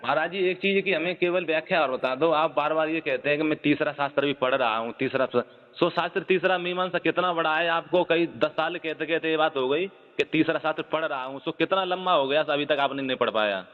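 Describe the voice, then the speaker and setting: A man's voice: fairly high pitch, brisk. A man arguing on a phone call, pressing reproaches persistently while keeping respectful forms of address. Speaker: caller. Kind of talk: phone argument. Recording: muffled phone call recording with reverb.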